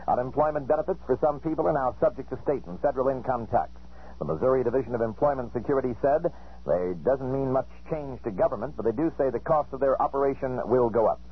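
American male local news reader, brisk rapid pace, rough husky tone, dull lo-fi radio. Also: mid-range voice. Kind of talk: rapid scripted local newscast. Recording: dull lo-fi 1970s radio broadcast.

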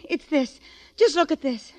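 An actress playing a frightened, pleading wife with breathless urgency in a 1940s radio drama. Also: female voice, high-pitched, lively intonation, a little slow, a little mellow. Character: frightened, pleading working-class wife at home. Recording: vintage 1940s radio broadcast.

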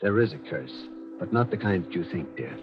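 Earnest young american boyfriend in old radio mystery, super animated pitch, melodramatic, dull recording. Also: male voice, fairly quick, music in the background. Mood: earnest reassurance. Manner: melodramatic, emphatic. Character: earnest, urgent young male sweetheart. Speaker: radio actor. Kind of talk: 1940s radio mystery acting.

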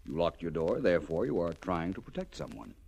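A man acting a suave, self-assured gentleman detective in a 1940s radio drama, urbane, wry and precise. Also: sits low, very animated, a little fast, full character voice. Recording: old 1940s radio transcription.

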